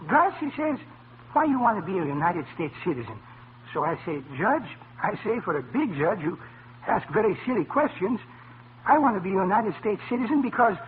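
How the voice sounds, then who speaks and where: An earnest immigrant restaurant owner with a foreign accent, high-pitched and very lively in his broken English. He is humble and cheerful, heard on a tinny vintage radio comedy recording. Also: slight background noise. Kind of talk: 1940s-1950s radio comedy acting.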